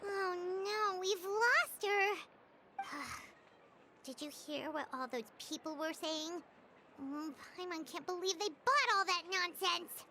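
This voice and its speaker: A woman voicing a tiny, childlike floating companion in a video game, chatty and quick to take offense. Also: extremely high pitch, lively intonation, sharp tone, a little hiss or hum, exaggerated voice. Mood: excitable. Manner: speaks of herself in the third person, indignant and chatty. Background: game music.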